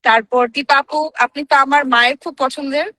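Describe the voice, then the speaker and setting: High, bright-voiced Bangladeshi woman. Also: steady tone, quick pace, no room sound. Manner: effusive, appreciative. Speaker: actress guest. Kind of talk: casual heartfelt tribute on a group chat.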